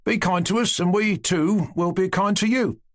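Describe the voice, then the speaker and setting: A man reading aloud voices a young male servant who talks gently but boasts about his master. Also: sits high, normal speed, voice pushed up, close to an everyday voice. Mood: eager. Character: young servant man, cheerful and self-important.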